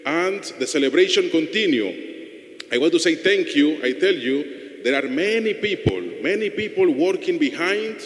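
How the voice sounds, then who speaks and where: A man, a parish priest whose first language is Spanish, speaking accented, halting English to his congregation in a church. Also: on the high side, animated. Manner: halting non-native English with "no?" tags. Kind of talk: homily and informal remarks to a congregation. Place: inside a church. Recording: echoey church with long reverb.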